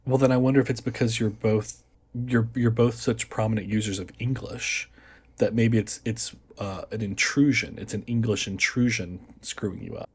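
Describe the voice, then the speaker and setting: American male guest with a husky, lowish voice who explains things patiently. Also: steady tone. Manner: measured, explanatory. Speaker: podcast guest. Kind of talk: casual chat.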